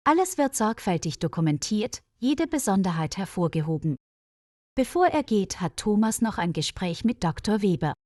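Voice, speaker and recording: A woman narrating a scripted story for language learners in a clear, measured, evenly paced reading voice. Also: medium pitch, darkish tone, no echo, clean studio sound.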